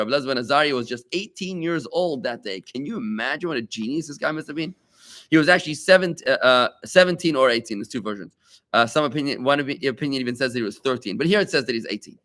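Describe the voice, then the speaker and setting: A man teaching a live Talmud class as a rabbi, animated and conversational, with rhetorical questions and asides to his students. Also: medium pitch, quick pace.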